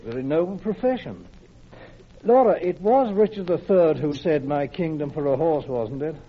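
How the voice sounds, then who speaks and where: An actor playing a kindly, absent-minded husband in a classic radio drama, with a mild, distracted manner. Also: male voice, fairly high pitch, animated, moderate pace, slightly rough. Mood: distracted, placid. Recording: dull, slightly noisy old radio recording.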